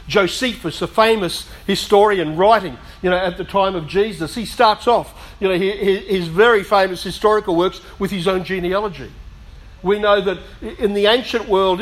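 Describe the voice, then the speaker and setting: A middle-aged man preaching a sermon in a conversational way, with humorous asides, slightly hoarse as he is losing his voice. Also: high-pitched, fairly bright tone. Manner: conversational, self-deprecating humour, frequent asides. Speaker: pastor.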